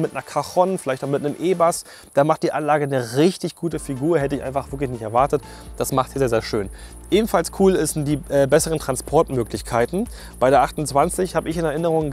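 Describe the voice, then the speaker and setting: A man's voice: on the high side, a little mellow. A man giving a casual, colloquial and opinionated product review as a sound technician.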